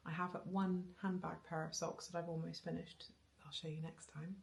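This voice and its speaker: A woman in her thirties talks casually into a camera in her living room, her voice low and touched with vocal fry. She rambles along with ums and restarts, breaking into small laughs. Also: level tone, normal speed. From Wales. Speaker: knitting vlogger. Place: living room with bookshelves, indoors. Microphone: camera on a tripod facing her.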